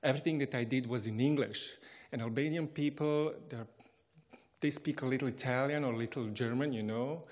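A man's voice: mid-range voice, a little mellow. A man from Bosnia and Herzegovina telling a personal travel anecdote in English, chuckling often and restarting his sentences. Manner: chuckling, halting, with frequent restarts. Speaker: storyteller.

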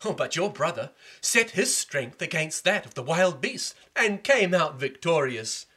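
Brief: man playing a gang of taunting boys, raised high and shouty, slow and drawn out. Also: very animated, husky, exaggerated voice. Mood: jeering. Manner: mocking, jeering.

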